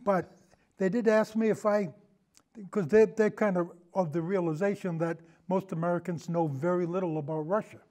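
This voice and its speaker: Higher-pitched older man, rambling. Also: steady tone, normal speed, a little mellow. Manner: rambling, digressive, hesitant. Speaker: guest, amateur historian and old time radio podcaster. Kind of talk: casual chat, reminiscing.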